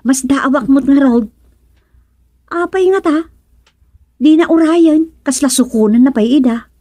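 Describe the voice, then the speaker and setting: A woman's voice: high-pitched, unhurried, warm tone, no room sound. An actress with an Ilocano accent playing a gossipy village woman who teases and chats with her neighbors. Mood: chatty. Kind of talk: radio drama acting.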